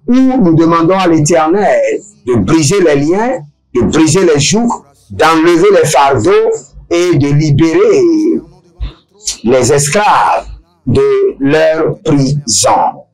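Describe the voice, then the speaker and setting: A man leading a deliverance prayer service, preaching slowly and emphatically and chanting long runs of speaking in tongues. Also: fairly high pitch, animated, poor audio.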